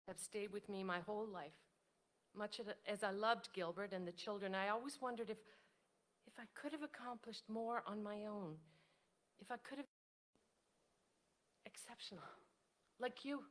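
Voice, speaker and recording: An actress plays a lonely old woman, once a campaigner for women's votes. She speaks at a middling pitch, wistful and modest. The delivery is earnest, as in a play read aloud on stage. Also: unhurried, long pauses, a bit bright, lightly acted.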